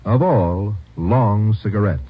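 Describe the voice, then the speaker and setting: A man's voice: lowish voice, slow pace, husky. A man reading a 1950s radio commercial as an emphatic announcer and salesman. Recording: dull old radio recording.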